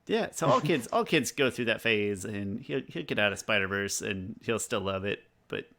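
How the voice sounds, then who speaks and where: A man in his thirties with a fairly low voice. He talks casually as a podcast co-host, musing out loud and dropping in joking asides. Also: lively intonation, normal speed, vocal fry. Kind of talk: casual chat.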